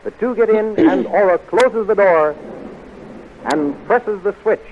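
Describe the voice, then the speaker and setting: Guy doing old timey radio adventure narrator, dramatic, highish, lots of hiss. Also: expressive, a little slow, narrow, tinny audio. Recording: noisy old radio serial recording.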